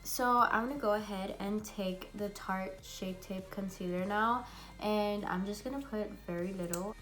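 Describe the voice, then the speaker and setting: A young woman with a raspy, congested voice from a cold, chatting bubbly through a makeup tutorial at home. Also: medium pitch, measured pace. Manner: chatty and bubbly, many fillers. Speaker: beauty vlogger. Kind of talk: casual makeup tutorial chat.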